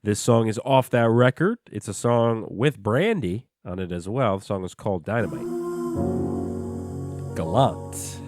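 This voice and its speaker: A man with a slightly low voice hosts a casual music show, sounding stuffed up from a cold. He talks in a loose, chatty way full of ums and uhs. The recording is dry and close, with no room sound. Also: unhurried, no echo. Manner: relaxed, chatty, filler-heavy. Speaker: podcast host.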